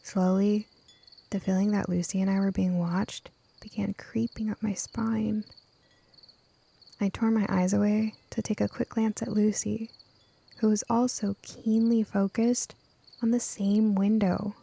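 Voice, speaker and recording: A woman's voice: medium pitch, level tone, a little slow, creaky, mellow, breathy edge. A woman reading scripted first-person story narration as an audiobook narrator.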